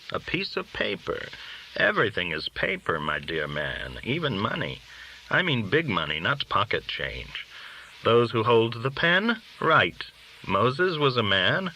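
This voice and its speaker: A man's voice: medium pitch, pushed and bright, exaggerated voice, rough recording. A man reading an audiobook, voicing a worldly, cynical, boastful underworld dealer who talks in glib, argumentative rhetorical questions. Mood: cynical, persuasive. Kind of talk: audiobook character dialogue.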